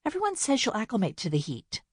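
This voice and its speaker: A woman doing a high, pouty youngest sister. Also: huge pitch swings, quick pace, husky, no echo, studio quality, exaggerated voice. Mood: pleading, upset. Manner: pouty, exasperated, gushing.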